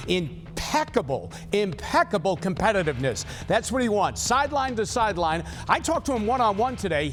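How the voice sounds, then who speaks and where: An American man anchoring a TV sports report, delivering news and commentary in punchy, emphatic short phrases over music. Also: high-pitched. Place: TV studio.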